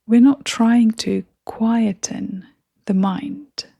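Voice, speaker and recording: A woman leading a scripted guided meditation in a soft, breathy, near-whispering voice, gentle and soothing, with long pauses. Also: fairly high pitch, steady tone, dry recording, clean studio sound.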